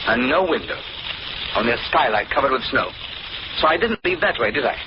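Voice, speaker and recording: An actor playing a suave, taunting villain in a radio thriller, politely mocking and imperious. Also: male voice, mid-range voice, expressive, brisk, natural voice. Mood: imperious, challenging. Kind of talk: old-time radio drama acting. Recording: noisy, muffled vintage radio recording.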